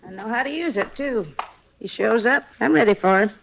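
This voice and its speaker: Gravelly, surly hotel manageress. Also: female voice, fairly high pitch, brisk, background noise. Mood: stubborn, defiant. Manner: curt, deadpan, ungrammatical folksy speech. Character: surly, blunt hotel manageress, unhappily married, self-reliant. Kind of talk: 1950s radio drama acting. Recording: 1950s radio recording.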